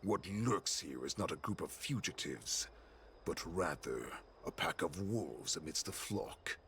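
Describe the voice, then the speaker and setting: A man playing a cruel, imperious wolf warlord in a video game, slow and taunting in his menace. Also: sits low, lively intonation, a bit bright, slight background noise, heavily acted. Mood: menacing. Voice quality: gravelly. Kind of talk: dramatic video game voice acting.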